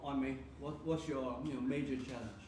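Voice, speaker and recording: A man's voice: mid-range voice, fairly even intonation, moderate pace, darkish tone. Male moderator, brief prompts, in a cavernous noisy hall. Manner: brief prompting questions, encouraging. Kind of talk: moderating a panel. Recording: very echoey hall, distant mic, noisy.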